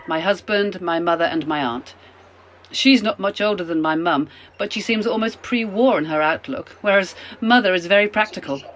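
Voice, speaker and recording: Actress as chatty British middle-class woman, lowish voice, polite, apologetic, radio play, faint train noise. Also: fairly bright tone, low-quality recording.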